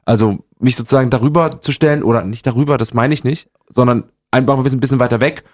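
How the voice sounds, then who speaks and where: A man's voice: medium pitch, fast talker. A man in his forties from eastern Germany, chatting as an interview guest in a playful, rambling way. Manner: playful, many restarts, does dialect impressions. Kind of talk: casual interview chat.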